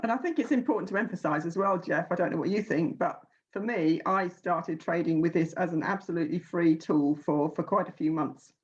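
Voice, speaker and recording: A woman's voice: fairly low pitch, a little fast, few pauses, highs cut off. A woman hosting an online workshop over a video call, friendly, with fillers and restarts. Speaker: workshop host.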